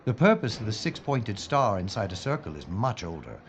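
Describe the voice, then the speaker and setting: A man's voice: lowish voice, very animated, normal speed. A man playing an earnest, dutiful police inspector in a wartime drama, speaking formally and with emphasis. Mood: measured, earnest. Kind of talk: period audio drama acting. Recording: dull, slightly noisy recording.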